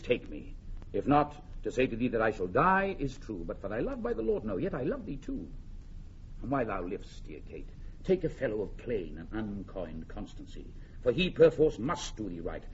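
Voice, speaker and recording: A man's voice: medium pitch, big pitch swings, normal speed. An actor playing a bold, plain-spoken English warrior king who woos ardently, in classic Shakespearean radio drama style. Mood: ardent wooing. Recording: muffled old radio recording, faint noise.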